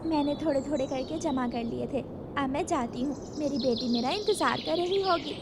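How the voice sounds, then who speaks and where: A woman voicing a gentle, modest mother bird in a children's cartoon, speaking softly and earnestly. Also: high-pitched, normal speed, noisy background. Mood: hesitant.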